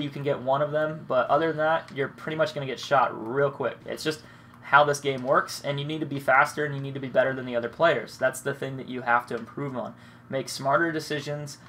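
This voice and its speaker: A man's voice: mid-range voice, steady tone, fairly quick, fairly bright tone, a little hiss or hum. A young man with a cold and a stuffed-up nose, casually rambling and swearing as he comments on his own gameplay. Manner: rambling, laid-back, swears freely. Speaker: gaming commentator.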